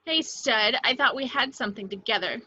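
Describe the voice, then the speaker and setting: A woman's voice: fairly high pitch, fairly quick, bright, forceful tone, husky, dull audio. A woman playing a sly, flirtatious villainess with a pout in a script table read. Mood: pouting, flirty.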